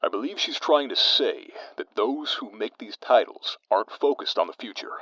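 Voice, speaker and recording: A man playing a courtly, erudite radio co-host in an audio drama, with formal, measured wording. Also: medium pitch, expressive, sharp tone, no echo, poor audio. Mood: genial.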